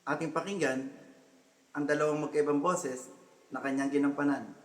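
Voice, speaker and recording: A man's voice: a little high, steady tone, normal speed, long reverb tail, rough recording. A man with a Filipino accent giving scripted educational narration as the host.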